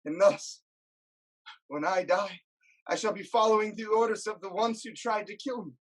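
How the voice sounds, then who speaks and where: A woman's voice: mid-range voice, normal speed, breathy edge, close to an everyday voice, low-quality recording. A woman playing an anguished, self-loathing blinded king in a declamatory, lamenting style of Greek tragedy. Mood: somber pleading. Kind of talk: theatrical Greek tragedy acting.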